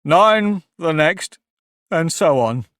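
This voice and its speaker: A man voicing a weepy, melancholy male sea creature who talks slowly and mournfully. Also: a little high, lively intonation, a bit bright, dry recording, studio quality, voice pushed up. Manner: slow, drawn-out and mournful.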